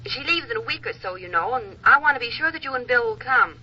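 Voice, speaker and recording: An actress playing a brisk, teasing friend and dinner hostess on the telephone in a 1940s radio comedy. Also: female voice, fairly high pitch, expressive. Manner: breezy, teasing. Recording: dull, noisy old radio, telephone scene.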